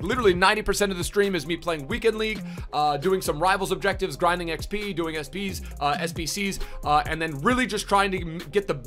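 A young man giving chatty, upbeat commentary on a video game card, his voice a little rough from streaming late, with plenty of fillers, in a small room at a desk mic. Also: high voice, a bit bright. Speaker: gaming YouTuber. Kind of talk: casual game review commentary. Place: small indoor room, gaming setup.

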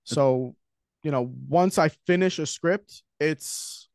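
A man's voice: fairly high pitch, slow, clean tone, dry recording. New York man, dry close sound. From Queens, New York. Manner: talkative, animated, gestures while talking. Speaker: guest screenwriter. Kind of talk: casual interview chat. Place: home room with shelves. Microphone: studio mic with headphones, video call.

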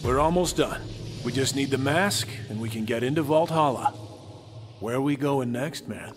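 A man playing a wry, wistful, world-weary survivor in a video game, musing conversationally in a husky voice. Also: medium pitch, normal speed, over music, close to an everyday voice. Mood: hopeful, restless. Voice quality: husky, rough-edged. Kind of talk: video game cutscene acting.

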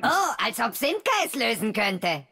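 A performer voicing a bold, overconfident little tech-sprite kid in an animated children's cartoon dub, brash and breezy. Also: mid-range voice, unhurried, gravelly, noisy background. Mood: defiant. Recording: loud music under the voice.

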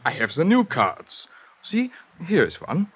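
Fussy husky German-accented innkeeper. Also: male voice, fairly high pitch, wildly swooping pitch, unhurried, a bit bright, exaggerated voice. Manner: courteous, fussy, eager to please. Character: fussy, eager Alpine innkeeper man. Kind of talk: 1950s radio drama acting. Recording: muffled old radio recording.

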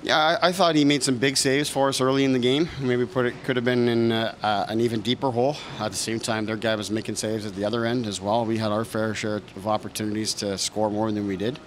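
A man in his thirties, a hockey head coach, answering reporters after a game, in a measured, thoughtful way with frequent fillers and restarts. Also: fairly low pitch, level tone, slight background noise. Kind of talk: post-game press conference answers. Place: press room in front of a sponsor backdrop. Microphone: several reporters' handheld mics close in front.

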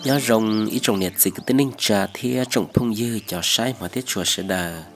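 A man giving a relaxed, conversational vlog narration. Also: fairly low pitch, moderate pace, soft background music. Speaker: vlogger.

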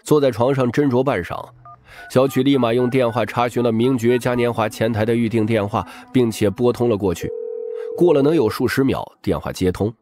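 A man's voice: mid-range voice, a little fast, a little mellow, soft background music. A man reading scripted, dramatic audiobook narration.

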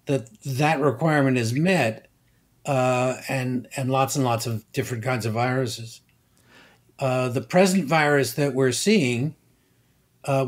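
Older male expert guest, very slow and deliberate delivery. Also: mid-range voice. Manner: measured, halting, many fillers. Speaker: guest scientist. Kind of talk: explanatory interview answers.

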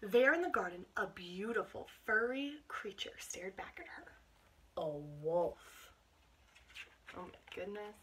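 An American woman in her twenties reads a picture book aloud to children with asides, in an expressive, encouraging manner. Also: medium pitch, slow pace, long pauses. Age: 20s. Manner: expressive, encouraging, addressing young listeners. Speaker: teacher reading aloud to children. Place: small bedroom indoors. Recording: clear background noise, home camera microphone.

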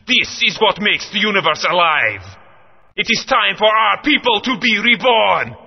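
A man voicing a grandiose male alien conqueror who slowly declaims threats of war in a video game. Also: sits high, expressive, vocal fry, slightly rough, heavily acted. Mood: menacing. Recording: muffled audio with long reverb.

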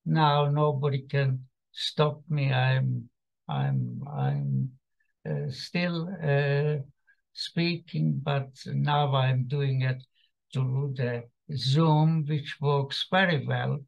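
Slow, gravelly elderly Slovak-accented man. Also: medium pitch. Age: 80s. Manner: halting, hesitant delivery with long pauses. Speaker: guest speaker, survivor giving testimony. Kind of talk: personal testimony talk. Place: small home room indoors. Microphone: computer mic on a video call. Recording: muffled, lo-fi video-call audio.

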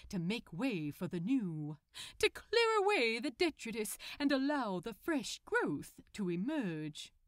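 A woman's voice: fairly high pitch, a bit bright, no echo, clean studio sound. A woman voicing a polite, grandiose male supervillain scientist with formal diction in a story reading. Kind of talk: fan fiction audiobook character.

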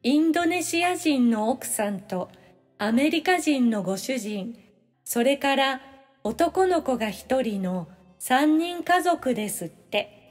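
A woman reading scripted practice sentences for language learners, with clear, instructional phrasing. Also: sits high, lively intonation, slow, darkish tone, in a large hall, soft background music. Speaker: language-lesson reader.

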